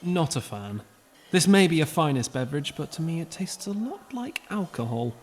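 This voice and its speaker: A man voicing an eccentric, excitable male alien time traveller who bursts with enthusiasm. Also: on the high side, a little hiss or hum, voice pushed up. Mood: enthusiastic. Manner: energetic, bubbly, quick leaps of enthusiasm.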